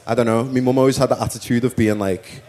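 A man's voice: mid-range voice, fairly even intonation, quick pace, warm tone. A man with a Liverpool accent, a musician talking casually in an interview, rambling with lots of "like" and "you know what I mean". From Liverpool, England. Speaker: musician guest.

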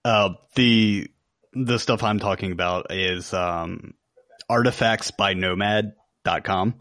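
A man's voice: on the low side, slow pace, fairly bright tone, no echo, studio quality. A man co-hosting a podcast in casual conversation. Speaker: podcast co-host. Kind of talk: casual chat.

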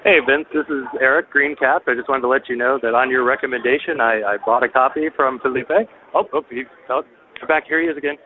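A man's voice: mid-range voice, steady tone, quick pace. A man leaving a friendly, offhand phone message from a convention floor. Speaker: caller. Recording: tinny phone line, faint noise.

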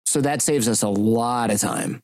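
Male podcast host, mid pitch, candid and rambling, recorded dry and close. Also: unhurried, darkish tone, dry recording. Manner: candid, rambling, lightly profane. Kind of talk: casual chat.

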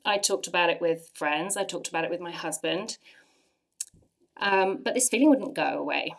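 A woman in her forties with an English accent, earnestly sharing a personal faith testimony with lively emphasis. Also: fairly low pitch, moderate pace, creaky. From England. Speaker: church speaker sharing testimony.

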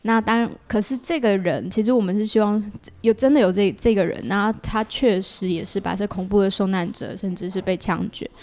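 A woman's voice: mid-range voice, brisk, hardly any pauses, a little mellow. A woman with a Taiwanese Mandarin accent and a mid-range voice speaks as a podcast guest. She explains things in a conversational way, thinking aloud in long, linked sentences. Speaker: podcast guest, audio drama creator.

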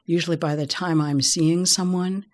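Middle-aged female expert, low-pitched, measured and explanatory, gently wry. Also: steady tone. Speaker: voice care expert guest. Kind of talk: relaxed conversational interview.

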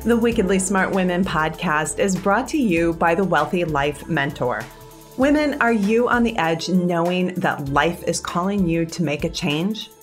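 A woman reading a scripted podcast advertisement in a smooth, persuasive way. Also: on the low side, unhurried, faint music behind. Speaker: ad narrator. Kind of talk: scripted ad read.

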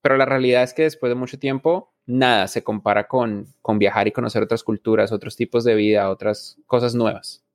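A man's voice: medium pitch, steady tone, a little fast, few pauses. A man, a reflective interview guest who often restarts his phrases. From Colombia. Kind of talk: casual interview talk.

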